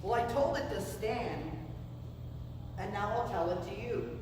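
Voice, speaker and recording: A man's voice: high-pitched, a little slow. Showman on a distant, echoing stage. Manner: showman-like, grandiose, teasing delivery. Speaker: stage performer and show host. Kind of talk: theatrical comedic stage patter for a live audience. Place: live festival performance before an audience. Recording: echoey live stage, distant and noisy.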